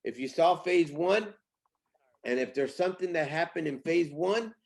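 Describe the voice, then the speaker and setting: A man speaking as a company boss in a casual interview, pitching with emphatic, repetitive phrasing. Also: lively intonation, moderate pace, vocal fry, darkish tone. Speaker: company CEO, interview guest.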